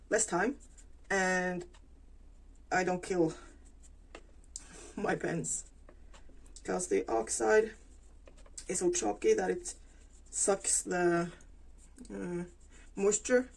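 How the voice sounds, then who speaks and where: A woman chats her way through a crafting session, thinking aloud with frequent pauses and fillers. Her voice sits a little low and she speaks at an easy, slow pace. Soft scratching of pens and stencils runs underneath. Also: vocal fry. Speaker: planner vlogger. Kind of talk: casual plan-with-me narration while crafting.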